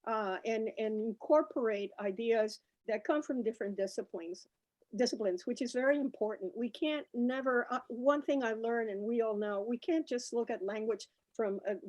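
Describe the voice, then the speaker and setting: A woman's voice: a little high, darkish tone, low-quality recording. A woman in her sixties from Cuba, a native Spanish speaker, telling personal stories as a panelist in a conversational way. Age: 60s. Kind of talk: reminiscing panel talk.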